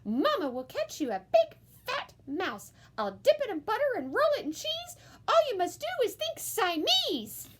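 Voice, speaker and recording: A woman's voice: very high voice, wildly swooping pitch, normal speed, voice pushed up, exaggerated voice. A woman voicing a bossy, scolding but affectionate mother cat from a storybook, commanding and emphatic. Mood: coaxing.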